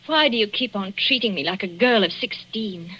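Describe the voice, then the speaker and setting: An actress with an English accent playing a young wife who answers anxiously and reluctantly, in 1940s radio drama acting on an old broadcast recording. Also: female voice, mid-range voice, expressive, normal speed, sharp tone. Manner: anxious, reluctant, clipped replies. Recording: dull lo-fi 1940s radio broadcast with faint hiss.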